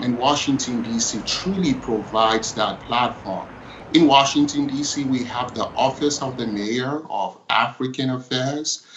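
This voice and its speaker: An earnest man talking steadily as an interview guest, his voice sitting at an ordinary middle pitch. The sound is dull and lo-fi, with a faint hiss of noise underneath. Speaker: documentary producer, interview guest. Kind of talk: interview answers.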